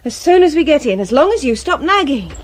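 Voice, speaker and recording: An actress playing a blunt, sharp-tongued young English woman in a British radio drama, colloquial and quick to take offence. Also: high-pitched, sing-song, noisy background. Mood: dismissive.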